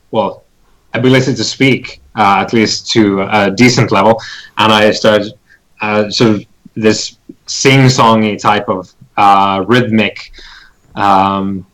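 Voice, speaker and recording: A man with a fairly low voice plays a tabletop game, talking very slowly. He halts often as he works out what his character does, keeping it casual. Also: steady tone, a bit bright, rough recording. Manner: hesitant, halting, thinking aloud. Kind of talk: casual in-character action description.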